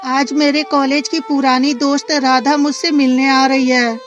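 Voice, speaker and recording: A woman's voice: high voice, little pitch movement, measured pace, voice pushed up. A woman voicing a wronged, indignant young wife who protests earnestly in a melodramatic cartoon moral story. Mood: plain.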